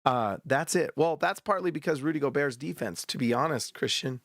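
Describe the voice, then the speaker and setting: A man hosting a post-game basketball show, ranting bluntly and heatedly with a sore, hoarse throat. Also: fairly high pitch, fairly quick, no room sound, clean studio sound. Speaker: sports podcast host.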